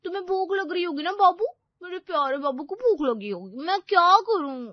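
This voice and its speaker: A woman plays a doting, childish girlfriend in an audio romantic comedy. Her voice is high and swoops wildly through pouty, gushing baby talk. The recording is dry and a little dull. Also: expressive, normal speed, no room sound. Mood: gushing, insistent. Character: clingy, childish, doting young girlfriend, easily hurt.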